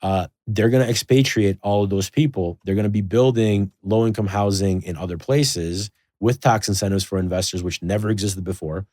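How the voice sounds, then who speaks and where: A man's voice: on the low side, a little fast, hardly any pauses, dry recording. A man in a casual podcast conversation, talking in a loose, rambling way with lots of fillers. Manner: rambling, filler-heavy, animated storytelling.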